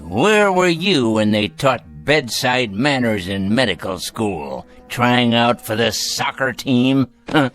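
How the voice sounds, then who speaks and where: A man's voice: medium pitch, expressive, slow, few pauses, a bit bright, faint music behind. An actor playing an elderly, dying rich man in a radio drama, cantankerous and sardonic, with florid speech.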